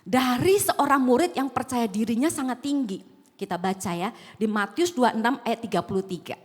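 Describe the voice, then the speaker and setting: A woman's voice: a little high, animated, moderate pace, breathy edge. A woman pastor from Sumatra with a slight Javanese accent, preaching a sermon in a conversational way and asking the congregation questions. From Sumatra, Indonesia. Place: large auditorium. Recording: echoing hall.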